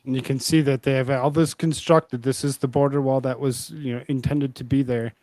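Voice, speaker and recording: An American man hosting a show with casual news commentary, talking in a halting, loosely phrased way. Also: mid-range voice, fairly even intonation, a little mellow, no echo. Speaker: show host.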